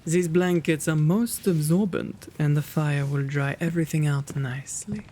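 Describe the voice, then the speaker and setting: A man playing a kind, quaint gentleman vampire in an ASMR roleplay, with genteel, old-fashioned, fussy diction and a creaky voice. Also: on the high side, fairly even intonation, normal speed, a little hiss or hum, lightly acted. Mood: genial, doting.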